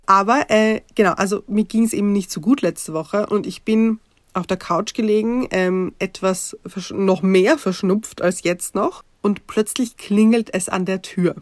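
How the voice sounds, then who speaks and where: A woman's voice: mid-range voice, unhurried, dry recording, studio quality. A woman co-hosting a podcast with a stuffy nose from a cold, chatting casually and telling a story. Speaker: podcast co-host.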